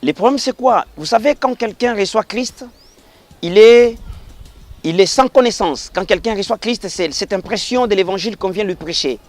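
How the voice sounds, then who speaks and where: A middle-aged man from the Congo Central region of the DR Congo, a pastor speaking earnestly in an interview, rising to emphatic passages and dropping to quiet blessings. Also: high voice, moderate pace, faint music behind. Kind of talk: formal interview remarks, preacherly.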